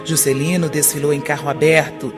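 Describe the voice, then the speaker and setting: A woman narrating a radio drama in a steady, informative way. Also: deep voice, a little fast, over music.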